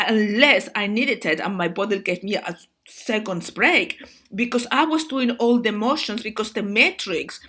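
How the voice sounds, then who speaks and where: A woman with a Spanish accent talks to her viewers in an excitable, rambling monologue, calling them guys and often restarting her words. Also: medium pitch. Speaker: spiritual video host.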